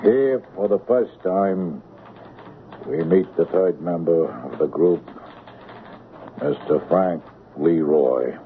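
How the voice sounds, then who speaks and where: Very slow, deep police narrator. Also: male voice, phone-quality sound. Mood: official. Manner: official, measured, impersonal. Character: formal male reader of a police record. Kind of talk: radio drama narration. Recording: band-limited radio drama recording with noise.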